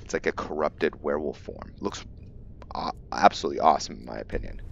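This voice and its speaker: A man giving casual gameplay commentary on his roleplay playthrough, musing aloud in a halting way. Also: rumbling low, creaky. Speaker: gamer and streamer narrating his roleplay playthrough. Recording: muffled audio with faint background noise.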